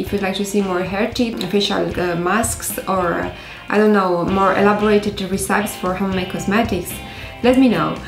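A woman in her thirties from Romania, speaking English with a Romanian accent, gently hosting a beauty tutorial to camera with frequent hesitations. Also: mid-range voice, roomy sound. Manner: gentle, careful delivery with many hesitations. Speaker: beauty vlogger. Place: indoors by a stone wall.

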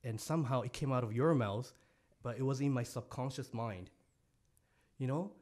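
A young man raised in Japan, a podcast guest speaking earnestly and softly with halting restarts, on a studio mic in an office. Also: mid-range voice. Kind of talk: podcast interview. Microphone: studio mic on boom, headphones.

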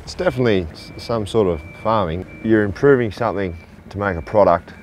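Male tradie, low register, casual talk, faint work-site noise. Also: a little fast, a little mellow. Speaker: tradesman, solar farm worker. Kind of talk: casual chat.

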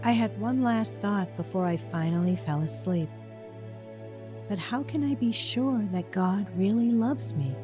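A woman's voice: medium pitch, lively intonation, a little slow, lightly acted. An actress playing a weary young single mother who narrates her story in a tired, reflective way. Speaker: narrator and lead character. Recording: music under the voice.

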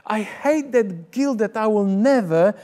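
A man's voice: high-pitched, animated, unhurried, dark tone. A middle-aged man from Poland with a Polish accent, preaching a sermon in earnest storytelling fashion on a church stage with a lapel mic. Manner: earnest storytelling, gesturing with both hands. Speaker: pastor and teacher. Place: church stage with curtain backdrop.

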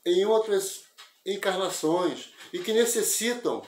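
An older man giving a spiritist lecture over a video call, speaking in a measured, reflective and explanatory way through an earphone mic in a small room at home. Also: high voice, animated, echoey room. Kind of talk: religious lecture. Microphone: wired earphone mic on a video call.

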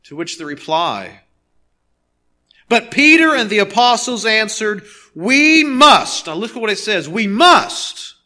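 A male pastor in his thirties delivers a sermon in a high, bright voice. He is earnest and emphatic, setting key phrases apart with deliberate pauses and repeating them. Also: sing-song. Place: nearly empty church sanctuary, behind a pulpit.

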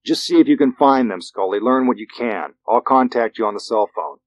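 A man's voice: mid-range voice, animated, brisk, dry recording, lightly acted. FBI agent, played by a male narrator: American, decisive, offhand, curious.

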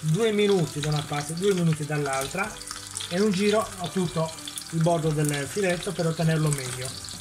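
A man's voice: a little high, moderate pace, background noise. A man hosting a cooking demonstration in a home kitchen, explaining each step and answering his own questions. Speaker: cooking show host.

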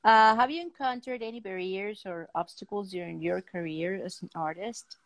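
Casting: woman, 50s, talk show host, mid-pitched voice, halting casual phrasing, dry sound. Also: no echo. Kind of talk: casual interview chat. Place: indoor room, video call.

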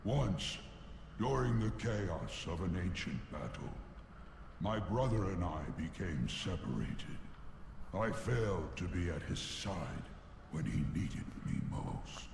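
An actor playing a huge ancient bear spirit in a game, grave and commanding, with an echoing effect. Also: male voice, low voice, expressive, slow pace, gravelly, background noise, heavily acted. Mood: grave, remembering. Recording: echoey reverb, loud game ambience behind.

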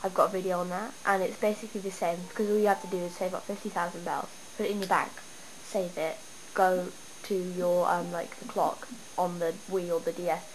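A young woman with a stuffy cold, chatting and rambling excitedly as she shows off her game town at home. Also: lowish voice, a little fast. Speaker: gamer showing her town. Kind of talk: casual game walkthrough. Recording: noisy home camera recording. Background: steady background noise.

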